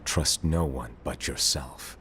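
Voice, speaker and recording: An actor playing an eccentric, playful master in an animated game cutscene, with a theatrical, teasing manner. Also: male voice, extremely low pitch, a little hiss or hum, full character voice. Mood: mock wounded, teasing. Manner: whimsical, teasing, drawn-out musing. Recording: music behind the voice.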